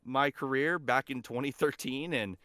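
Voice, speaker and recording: A man's voice: mid-range voice, normal speed, bright, forceful tone, dry recording. A man chatting casually as a voice actor guest on a podcast, speaking in an enthusiastic, earnest way.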